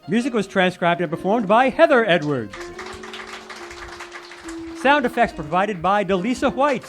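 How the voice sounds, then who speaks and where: A man's voice: sits high, fairly bright tone. A man announcing in a formal, theatrical old-time radio style, reading scripted credits for a show. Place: live stage performance in a theater hall. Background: music.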